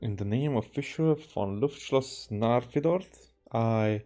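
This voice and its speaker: A man from Hungary, a native Hungarian speaker, doing casual gameplay commentary and reading text aloud haltingly. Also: medium pitch, dark tone, faint music behind. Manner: halting, re-reads stumbled words. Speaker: game streamer.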